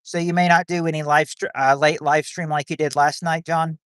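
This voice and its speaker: A man's voice: a little high, flat delivery, normal speed, fairly bright tone, no room sound. A man hosting a livestream of a quiz game, rambling as he reads chat and greets viewers.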